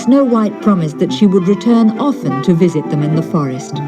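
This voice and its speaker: A woman narrating a fairy tale as an audiobook reader in an expressive storytelling style. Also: fairly low pitch, a little fast, music in the background.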